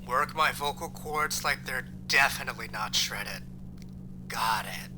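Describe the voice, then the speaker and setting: An actor playing a sardonic, defiant, battered man in an audio drama, with blunt deadpan delivery. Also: mid-range voice, measured pace, background noise, natural voice. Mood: wry.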